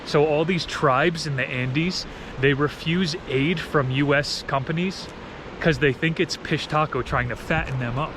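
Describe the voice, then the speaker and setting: A man's voice: a little high, measured pace, background noise. A man from New Jersey with an American accent, chatting and telling stories in a casual, slangy, playful way as a travel vlogger. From New Jersey, United States. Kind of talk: casual vlog chat with storytelling. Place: outdoors, camping.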